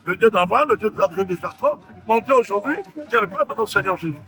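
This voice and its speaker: Male prophet-preacher, Central African French accent, emphatic sermon delivery, sharp voice. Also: high-pitched, animated, quick pace. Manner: emphatic, proclaiming, repeats phrases.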